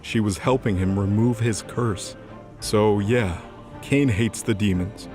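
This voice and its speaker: Male narrator, fairly low voice, measured scripted fantasy storytelling over clear background music.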